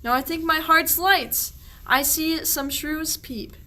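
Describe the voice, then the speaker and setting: A woman's voice: high-pitched, takes it slowly, bright, forceful tone, slight background noise, heavily acted. A woman playing a young, put-upon shepherd servant boy in a radio play, declaiming verse earnestly. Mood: anxious wonder.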